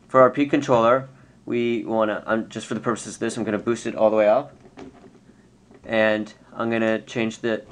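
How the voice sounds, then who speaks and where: A man giving an unscripted, casual software tutorial, explaining step by step and correcting himself as he goes. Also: on the low side. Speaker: tutorial presenter. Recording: clear background noise.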